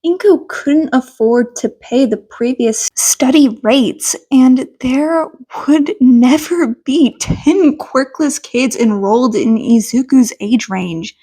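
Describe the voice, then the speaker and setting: A woman's voice: sits high, slow, hardly any pauses, warm tone, slightly rough. A woman reading a scripted story aloud as an amateur audiobook narrator.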